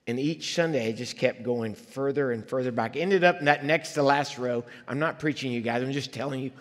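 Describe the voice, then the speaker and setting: A man's voice: medium pitch, fairly quick, big-room sound. A man who grew up in Liberia, a guest preacher telling his own testimony in a church sanctuary, earnest and conversational with asides to the congregation. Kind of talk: personal testimony in a sermon.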